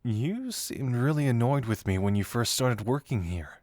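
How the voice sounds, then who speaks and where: A man's voice: mid-range voice, lively intonation, moderate pace, darkish tone, no echo, studio quality, lightly acted. A man acting a flirty, self-deprecating detective who shyly confesses as a secret admirer in a romantic audio roleplay, teasing yet earnest and hesitant.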